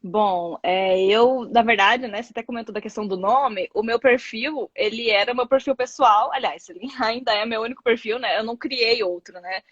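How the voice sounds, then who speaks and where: A woman in her thirties, a guest content creator, talking in a rambling, expressive way with many fillers on a video call. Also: a little high, normal speed, few pauses, dry recording, dull audio. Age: 30s. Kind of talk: casual live chat.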